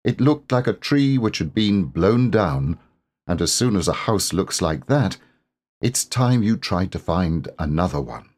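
A man with a fairly low, husky voice narrates a children's story. He takes his time over each phrase, telling it expressively and with very lively intonation. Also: unhurried. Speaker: audiobook narrator.

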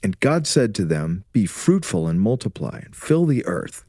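A man playing a warm, teasing, scholarly husband and father in a radio drama, with a measured, preacherly way of explaining. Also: lowish voice, sing-song, husky, dry recording, clean studio sound, heavily acted. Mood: earnest, instructive. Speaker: actor in an audio drama.

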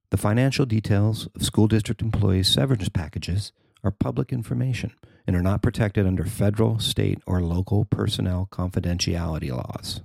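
A man who works as a newspaper reporter, reading his own article as scripted narration in a measured, formal way. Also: fairly low pitch, vocal fry, darkish tone, no echo.

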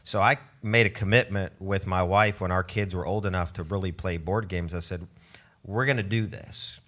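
A man who directs a summer camp, speaking in an earnest, measured way in a conversational interview. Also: low-pitched, steady tone, a bit bright. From grew up in Switzerland and Oregon. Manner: measured, earnest, some hesitation.

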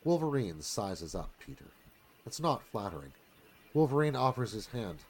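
Husky, animated male narrator. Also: fairly low pitch, moderate pace. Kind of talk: dramatic live screenplay narration.

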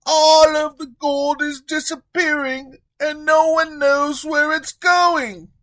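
A man's voice: very high-pitched, level tone, slow, sharp tone, pitched above the performer's own voice, full character voice, poor audio. A man voicing a meek, fussy royal treasurer delivering bad news. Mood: anxious.

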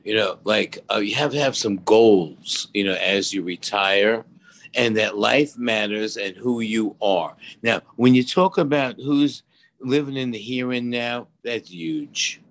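Co-host, male, seventies: low creaky voice, folksy, loose conversational advice. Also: unhurried, fairly bright tone. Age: 70s. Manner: rambling, folksy, repeats "you know". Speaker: expert co-host.

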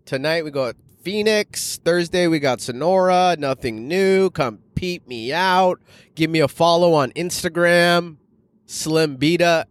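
A man's voice: fairly high pitch, no room sound. A man in his thirties from Canada, hosting a casual solo podcast and telling stories in slangy, profane talk. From Canada, later Arizona. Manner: slangy, animated, profane, addressing listeners as guys. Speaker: podcast host and stand-up comedian.

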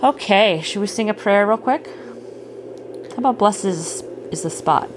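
A middle-aged woman chatting casually with a child at bedtime, gently explaining things and checking in with questions. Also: mid-range voice, animated, moderate pace. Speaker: parent hosting a bedtime story podcast. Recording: clearly noisy home recording.

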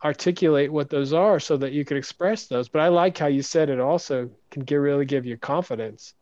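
Soft-spoken man hosting a podcast. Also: a little high, brisk, no echo, muffled recording. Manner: soft-spoken, hesitant with restarts. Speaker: podcast host. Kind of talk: casual interview chat.

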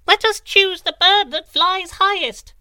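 A man voicing a small, boastful female wren in a storybook tale, eager and shrill. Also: a little slow, pushed and bright, no room sound, studio quality, exaggerated voice.

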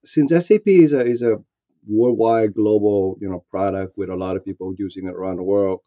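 A man's voice: lowish voice, fairly even intonation, brisk, dry recording, highs cut off. A man in his sixties who grew up in Vietnam, answering interview questions in a reflective way, with many fillers and restarts. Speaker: guest.

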